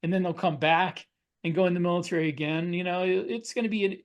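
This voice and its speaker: A man's voice: high voice, steady tone, fast talker. A middle-aged man co-hosting a casual panel discussion, explaining his opinions at length. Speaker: podcast co-host.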